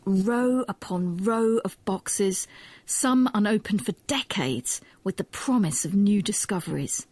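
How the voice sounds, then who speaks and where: A woman presenting a documentary in measured, articulate scripted narration. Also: mid-range voice, animated, a little mellow, dry recording.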